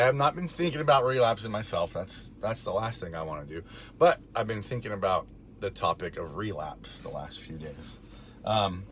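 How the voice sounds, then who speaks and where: Hoarse gravelly man talking in a car. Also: fairly low pitch, slight background noise. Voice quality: raspy, gravelly. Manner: relaxed, rambling with fillers and chuckles. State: hoarse from being under the weather. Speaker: recovery vlogger. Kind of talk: casual faith talk to camera. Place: parked car.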